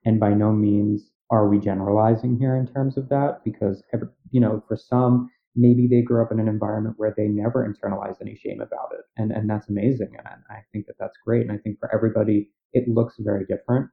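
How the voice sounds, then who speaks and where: A man co-hosting a podcast who speaks in a measured, reflective way. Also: lowish voice, little pitch movement, gravelly. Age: adult. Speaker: podcast co-host, therapist. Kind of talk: casual conversation. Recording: tinny phone-like audio.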